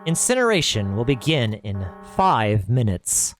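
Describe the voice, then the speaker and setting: A flat, mechanical automated announcer reads out a countdown, voiced by a male reader. The delivery is even and unmoved. Faint music runs underneath. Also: mid-range voice, unhurried.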